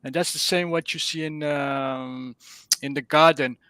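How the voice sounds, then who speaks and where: Dutch-accented male caller, fairly high and bright, dry close sound, earnest and groping for words. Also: steady tone, a little slow, clear, resonant tone, dry recording. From Netherlands. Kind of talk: casual explanation on a live stream.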